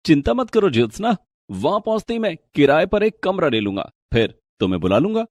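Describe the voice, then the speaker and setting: An actor playing a young married office worker, casual and brisk, in an animated moral story. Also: male voice, fairly high pitch, dry recording, studio quality. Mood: reassuring.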